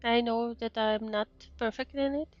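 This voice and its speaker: A woman, a native Russian speaker with a Russian accent, giving casual, halting, encouraging commentary as she streams a game. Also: fairly high pitch, fairly even intonation, highs cut off. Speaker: VTuber streamer. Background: faint game music.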